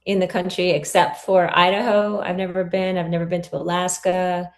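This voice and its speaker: A woman from New Jersey giving a casual home tour and interview, chatty and halting, laughing easily. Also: fairly low pitch, level tone. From New Jersey, USA. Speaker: interview guest and expat homeowner.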